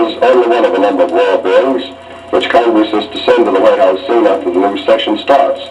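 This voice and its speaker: An American man reading a scripted 1940s radio newscast in a formal, measured announcer delivery, on an old disc recording. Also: lowish voice, a little mellow. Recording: 1941 radio disc recording, distant sound.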